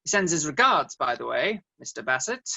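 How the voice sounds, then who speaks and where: A woman's voice: low voice, sing-song, normal speed, creaky, sharp tone, slightly rough, muffled recording, heavily acted. A woman playing a cool, sardonic sleuth who narrates a comedy radio play, polite and wry. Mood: cheeky, polite.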